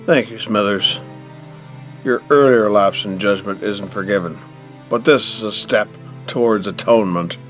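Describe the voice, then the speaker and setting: Man playing a low, imperious rich patriarch. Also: measured pace, close to an everyday voice. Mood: stern, impatient. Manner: formal, imperious. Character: stern, pompous, wealthy middle-aged father ruling the group. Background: music behind the voice.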